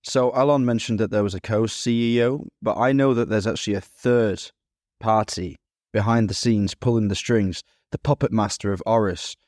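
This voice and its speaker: A man's voice: on the low side, moderate pace, a little mellow, no echo, studio quality. A man co-hosting a podcast, asking questions in a joking, playfully dramatic way. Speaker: podcast co-host. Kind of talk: casual interview hosting.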